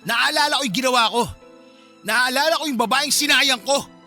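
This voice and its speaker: A bitter young husband in a radio drama, scornful and accusing. His voice is high and tense, and he fires his lines off quickly over a music bed. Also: bright, forceful tone, exaggerated voice. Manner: dismissive, accusing. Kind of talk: Filipino radio drama acting.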